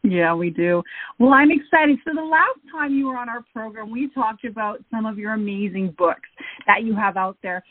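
Effusive woman host with a breathy voice. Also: fairly high pitch, moderate pace, dry recording. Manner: enthusiastic, effusive. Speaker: show host. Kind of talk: podcast interview hosting. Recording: phone-like narrow audio.

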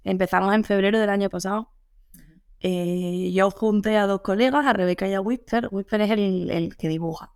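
A woman's voice: medium pitch, moderate pace, a little mellow, clear, resonant tone. Joking Andalusian-born woman guest. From Andalusia, Spain. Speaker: comic author, guest. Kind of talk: casual radio interview.

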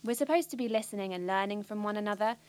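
Bookish British teenage girl, anxious yet self-assured woman acting; mid-pitched, precise, confiding audio drama monologue. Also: fast talker, darkish tone, close to an everyday voice. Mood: matter-of-fact, self-assured.

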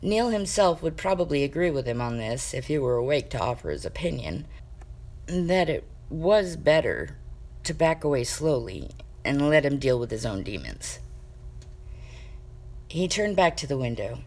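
A woman reading a comic story aloud as an expressive amateur narrator, with wry, dramatic emphasis. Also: very deep voice, normal speed. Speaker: amateur fiction reader.

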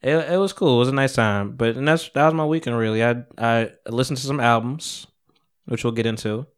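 A man in his early thirties hosting a podcast, telling stories in a casual, slangy, animated way. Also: medium pitch, fairly quick, smooth. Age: early 30s. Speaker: podcast host. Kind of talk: casual chat and storytelling.

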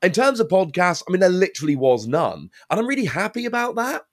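Animated man telling stories. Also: sits high, fast. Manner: animated, lively storytelling. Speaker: podcast host and card game caster. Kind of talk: casual podcast interview chat.

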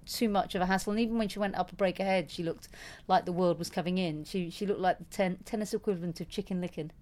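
A woman's voice: fairly low pitch, steady tone, fast talker, a little mellow. Chatty woman with lots of fillers. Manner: chatty, self-mocking, lots of fillers and restarts. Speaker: podcast co-host. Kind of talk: casual tennis chat.

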